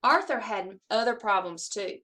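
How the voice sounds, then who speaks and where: A woman reading a children's story aloud in an expressive, animated way, acting out the dialogue with emphasis. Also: medium pitch, moderate pace. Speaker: assistant principal reading aloud to schoolchildren.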